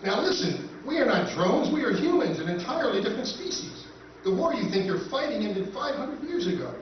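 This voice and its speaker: A man playing an exasperated, scheming human spaceman in a live 1950s-style radio play, with an animated, wry delivery. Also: high voice, fairly quick. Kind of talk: live recreation of 1950s radio science fiction acting. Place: live stage at a convention, indoors. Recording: echoey, distant, dull noisy convention hall.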